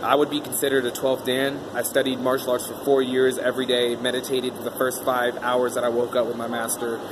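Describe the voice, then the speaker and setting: A man's voice: mid-range voice, monotone, a little fast, background noise. A man talking about himself in a casual, rambling way, with many um fillers. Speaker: vlogger interviewing himself. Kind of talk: casual self-interview monologue.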